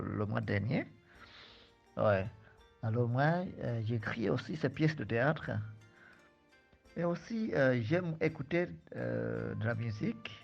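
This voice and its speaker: A man from Malawi speaks slowly and hesitantly, pausing often on fillers as he answers an interviewer. His voice has a gravelly edge. The recording is dull and lo-fi, with faint music behind him. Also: medium pitch. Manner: hesitant, many fillers and restarts. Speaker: French teacher, guest.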